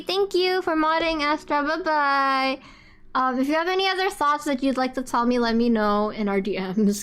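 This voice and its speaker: A young adult non-binary streamer with a higher-pitched voice chats casually during a live reaction stream. They stammer through restarts, laugh often and swear freely.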